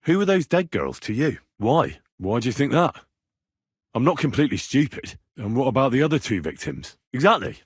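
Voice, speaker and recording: A stubborn young investigator in a video game. His voice is slightly rough and moves freely up and down as he probes with earnest, plain-spoken questions. The sound is dry and close, with no room around it. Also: medium pitch, big pitch swings, normal speed, dry recording. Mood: determined, probing. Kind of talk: video game character dialogue.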